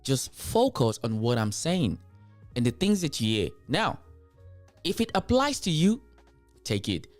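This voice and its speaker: A man's voice: mid-range voice, very animated, a little slow, long pauses, no room sound, faint music behind. A man with a Nigerian accent hosting a commentary show, talking straight to his viewers, emphatic and repeating his points to drive them home. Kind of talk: reaction commentary, addressing viewers.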